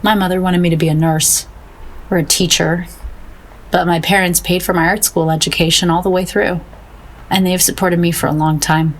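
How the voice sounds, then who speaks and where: A woman's voice: on the low side, fairly even intonation, normal speed, natural voice, rough recording. A woman playing a self-doubting fine arts painter in an audio drama, wry, earnest and self-deprecating. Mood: earnest, melancholy. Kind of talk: naturalistic audio drama acting.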